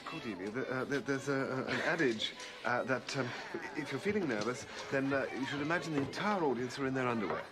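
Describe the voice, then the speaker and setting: A man's voice: medium pitch, animated, fairly quick, natural voice. A middle-aged man playing a flustered, bookish teacher in a TV drama, stammering politely and awkwardly. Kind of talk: TV drama acting. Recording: TV playback with music, crowd.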